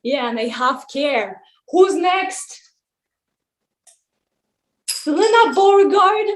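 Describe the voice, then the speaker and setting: A woman's voice: high voice, big pitch swings, slow, exaggerated voice. A woman playing a jaded, sarcastic male wine god who runs a summer camp, in a weary, theatrical table read. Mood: exasperated. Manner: sardonic, weary, theatrical complaining.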